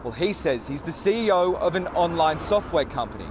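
A man's voice: a little high, sing-song, brisk. A man with an Australian accent reading a scripted television news report. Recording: TV news clip with street noise.

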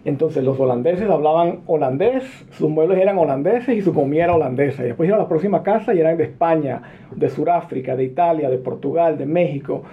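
A man in his forties who grew up in Venezuela, telling his story as an interview guest in a relaxed, friendly way with frequent fillers. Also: fairly high pitch, fast talker. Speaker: interview guest, business executive. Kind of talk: conversational interview answers. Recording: muffled phone line with faint noise.

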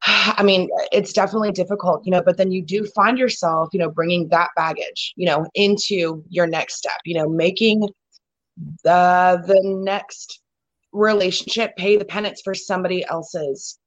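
A woman's voice: on the low side, fairly even intonation, normal speed, lo-fi sound. A woman hosting a casual conversation, talking in a rambling way with lots of "you know" fillers and restarts.

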